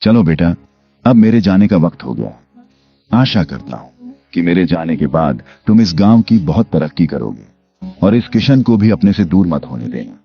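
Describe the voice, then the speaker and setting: A very low, commanding ghost of a village headman, acted out by a man for a cartoon moral tale. The delivery is theatrical and stern, swinging widely in pitch. The sound is a little dull, with music clearly behind it. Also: big pitch swings, normal speed, exaggerated voice. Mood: kindly. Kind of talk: Hindi animated moral story acting. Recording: dull lo-fi audio.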